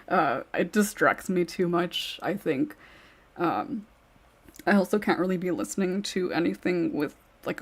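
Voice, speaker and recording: A woman hosting a podcast in casual panel chat, reading out questions and giving her own answers. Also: fairly low pitch. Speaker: podcast host and script writer.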